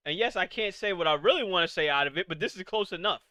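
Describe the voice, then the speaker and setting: Male host, late 20s, high crisp voice, dry close mic, profane exasperated streamer rant. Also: animated, fast talker, sharp tone, no room sound. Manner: exasperated, profane, rhetorical questions. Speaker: podcast host. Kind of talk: live-streamed casual rant.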